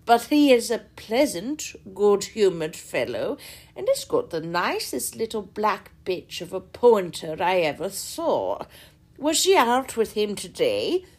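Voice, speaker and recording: A woman voicing a hearty, jovial older country gentleman who talks loud, booming and slow. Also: very animated.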